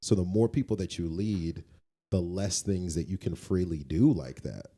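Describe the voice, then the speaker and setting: A man's voice: sits low, normal speed, dark tone. A man co-hosting a podcast, talking conversationally in an opinionated, explanatory way and often checking in with the listener. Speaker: podcast co-host.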